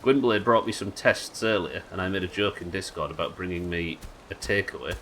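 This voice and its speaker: Male game streamer, nasal and a bit hoarse, wry muttering chat, lowish voice with a sharp edge. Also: fairly quick, slight background noise, poor audio. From United Kingdom. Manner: chatty, wry, swears casually, muttering asides. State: sick, slightly hoarse.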